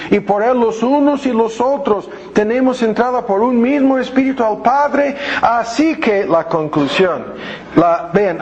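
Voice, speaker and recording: A man's voice: high voice, a little mellow, muffled recording, a little hiss or hum. A male pastor preaching a sermon, reading verses aloud and quizzing his congregation as he explains them word by word. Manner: explains word by word with frequent asides. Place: church hall with congregation.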